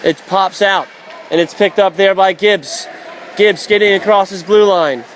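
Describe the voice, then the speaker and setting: A man calling live ice hockey play-by-play for radio, excitable and raising his voice on big plays. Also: sits high, unhurried, poor audio. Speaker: play-by-play commentator. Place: indoor ice rink broadcast position. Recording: live arena commentary with faint crowd noise. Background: faint crowd and rink noise.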